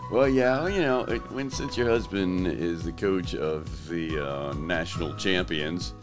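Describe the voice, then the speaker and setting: Deep-voiced joking man hosting a podcast. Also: a little slow, over music. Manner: joking, teasing. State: voice lowered by allergies. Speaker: podcast host. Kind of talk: casual chat. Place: South Carolina.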